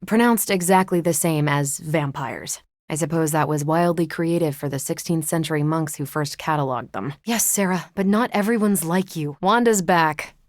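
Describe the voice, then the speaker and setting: A woman's voice: sits low, normal speed, hardly any pauses, no room sound, studio quality, natural voice. An American woman voicing a confident, wry military superheroine in a video game, with a casual, dryly humorous manner. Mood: wry, conversational. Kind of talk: video game character dialogue.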